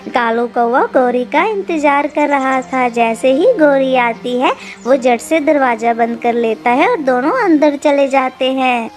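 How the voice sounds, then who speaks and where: A woman reading scripted narration for a children's animated story. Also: high voice, a little slow, few pauses, faint music behind.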